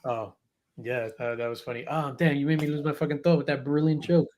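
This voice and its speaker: A man's voice: fairly high pitch, fairly even intonation, brisk, a little mellow, slight background noise. A man hosting a casual pop culture panel, joking and swearing as he reads out comments and topics. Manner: profane, jokey, reads viewer comments. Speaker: podcast host.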